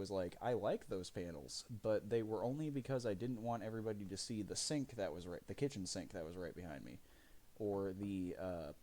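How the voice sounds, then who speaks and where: A man live streaming from his home desk, his voice raspy from phlegm, rambling casually with many fillers and restarts. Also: lowish voice, a little mellow. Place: desk corner of a home living room.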